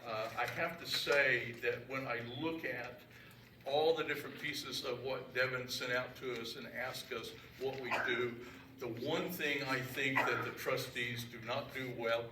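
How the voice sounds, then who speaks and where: A man reporting as a church trustee at a council meeting, loud and joking, talking freely off his notes into a handheld mic. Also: mid-range voice, fairly even intonation, a little slow. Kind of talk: informal talk at a meeting. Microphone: handheld microphone. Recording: echoey room, mic far off, background noise.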